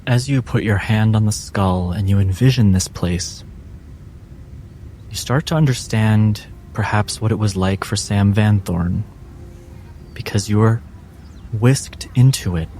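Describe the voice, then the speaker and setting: A man narrating a tabletop fantasy game as game master, in a measured storytelling manner. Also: on the low side, dark tone, a little hiss or hum. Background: music.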